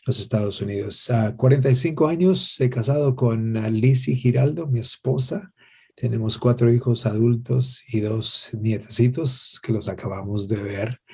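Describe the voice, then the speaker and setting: A man, answering in an interview in a gracious, halting way with many pauses. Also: lowish voice, mellow, slightly rough. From Guatemala. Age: 60s. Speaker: interview guest, pastor and worship musician.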